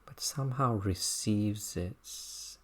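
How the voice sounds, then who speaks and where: A meditation teacher guiding a body scan practice in an unhurried, contemplative manner, speaking in short, halting phrases. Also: medium pitch.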